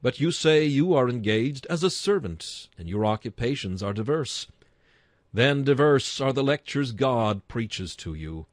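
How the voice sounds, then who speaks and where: A man's voice: medium pitch, animated, measured pace, no room sound, studio quality. A man reading an old-fashioned sermon aloud in a solemn, exhorting and rhetorical manner. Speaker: narrator reading a historical sermon. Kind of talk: dramatic read-aloud sermon in archaic English.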